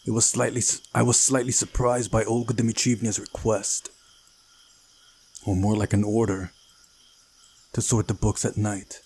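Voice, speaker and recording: A man's voice: lowish voice, flat delivery, unhurried, pauses often, darkish tone, breathy edge. A man hosting a visual novel playthrough, reading the narration aloud and adding casual commentary. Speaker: let's play host.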